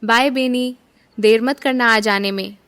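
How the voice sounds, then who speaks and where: Woman acting a guarded young woman, higher-pitched. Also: fairly even intonation, unhurried, fairly bright tone. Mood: casual. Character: guarded, bitter young Kashmiri woman working undercover. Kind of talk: Hindi audio drama acting.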